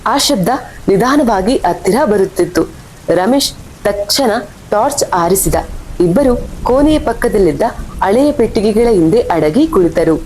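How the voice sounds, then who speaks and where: A woman's voice: animated, measured pace, darkish tone, poor audio. A woman reading scripted horror story narration as the storyteller. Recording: faint rumble and rain behind voice.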